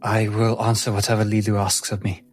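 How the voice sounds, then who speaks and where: A man's voice: on the low side, completely flat delivery, brisk, darkish tone, soft background music, natural voice. Man playing timid, stammering servant mystic woman. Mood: anxious, reluctant. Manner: hesitant, stammering, very formal and obsequious. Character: timid, deferential female servant mystic, anxiously eager to please.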